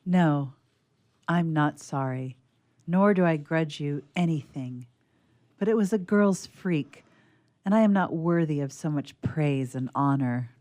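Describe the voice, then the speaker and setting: A woman's voice: sits low, unhurried, a little mellow. A woman playing a modest, good-hearted young woman being courted, frank and self-effacing, in a radio theatre reading. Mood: modest, sincere.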